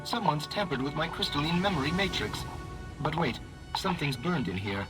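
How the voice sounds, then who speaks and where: A man's voice: fairly high pitch, lively intonation, normal speed, natural voice. A man playing a polite, servile ancient clockwork automaton in a video game, speaking formally and with quaint precision. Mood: puzzled, theatrical. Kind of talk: video game character dialogue. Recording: game music over the voice. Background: loud game music.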